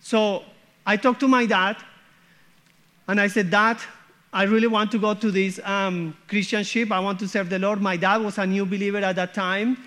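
High-voiced man giving testimony. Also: fairly even intonation, normal speed, bright, forceful tone. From Colombia. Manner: earnest, repeats "okay?" and "hmm?" to check in. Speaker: guest missionary speaker. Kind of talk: church testimony.

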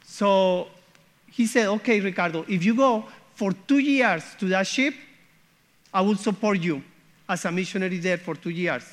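A man's voice: high voice, normal speed. A man, sharing his church testimony as a guest missionary in an earnest way that often checks in with "okay?" and "hmm?". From Colombia.

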